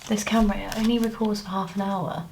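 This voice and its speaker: A British woman with a croaky voice, chatting casually as she counts cash into budget envelopes and clears her throat now and then. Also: mid-range voice, level tone, quick pace, darkish tone, slight background noise. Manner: counting money aloud, chatty asides. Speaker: budgeting vlogger. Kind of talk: casual cash-stuffing commentary.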